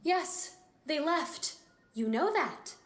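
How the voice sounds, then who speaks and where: A woman playing a brisk, evasive receptionist at a sinister institute in a horror audio drama. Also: high-pitched, expressive, full character voice. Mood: curt, evasive.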